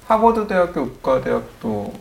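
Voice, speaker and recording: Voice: medium pitch, big pitch swings, normal speed, gravelly, a little mellow, slight background noise, poor audio. Jokey co-host from Jeolla. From Jeolla, South Korea. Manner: jokey, self-deprecating, prompting. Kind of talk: casual talk-show interview.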